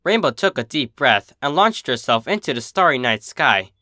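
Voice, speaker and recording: A man reading fan fiction aloud in an expressive storybook narration style. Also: medium pitch, moderate pace, dry recording, studio quality. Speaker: fan fiction reader, channel host.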